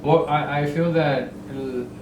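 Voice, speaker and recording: Halting middle-aged man, roomy distant sound. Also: on the high side, steady tone. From El Salvador. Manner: halting, many fillers and restarts, explains with homely analogies. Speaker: guest, real estate broker. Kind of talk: casual interview chat. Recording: roomy, distant mic, ambient noise.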